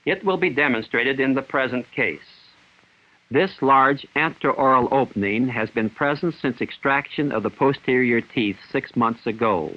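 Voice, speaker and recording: A man reading scripted instructional narration in a formal, measured, clinical manner, in the style of a 1960s training film. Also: mid-range voice. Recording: dull 1960s film soundtrack.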